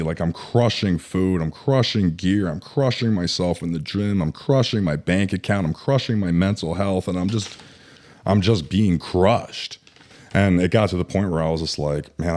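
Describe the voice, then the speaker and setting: A man in his thirties talks in a low, deep register. He tells his story openly and casually, with plenty of fillers and false starts. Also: darkish tone. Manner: candid, rambling with many likes and restarts. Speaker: bodybuilder guest.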